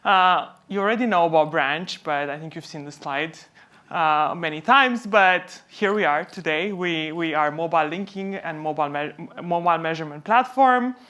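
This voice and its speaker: Woman doing a conference talk, lowish voice, from home, explains stuff by asking questions then answering. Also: normal speed, fairly bright tone, dull audio. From Romania. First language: Romanian. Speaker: company co-founder presenting at a conference. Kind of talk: conference presentation.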